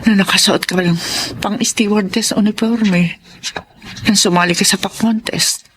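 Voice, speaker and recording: A woman's voice: medium pitch, steady tone, unhurried, a bit bright, a little hiss or hum, lightly acted. An actress playing a loving, apologetic, self-sacrificing mother in a Filipino radio drama, speaking with tender, earnest emotion. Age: middle-aged. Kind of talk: Tagalog radio drama acting.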